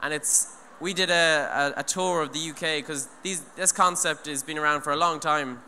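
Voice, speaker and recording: Young man, earnest rambling stage talk, slightly high voice, long hall reverb, faint background noise. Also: fairly even intonation, moderate pace, pushed and bright. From Ireland. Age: young adult. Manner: earnest, rambling, many restarts and "you know". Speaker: speaker at an eco event. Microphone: handheld mic.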